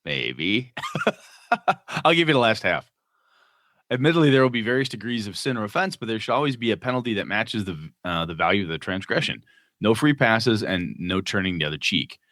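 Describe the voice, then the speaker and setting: A man's voice: on the low side, sing-song, a little fast, fairly bright tone, no room sound, studio quality. A man co-hosting a casual gaming podcast, reading listener letters aloud with jokey asides.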